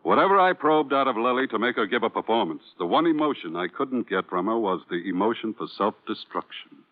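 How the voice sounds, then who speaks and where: An actor playing a sardonic Broadway producer, biting and theatrical, in an old-time radio crime drama. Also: male voice, medium pitch, big pitch swings, fairly quick. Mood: sardonic, wistful. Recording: tinny, band-limited vintage radio recording.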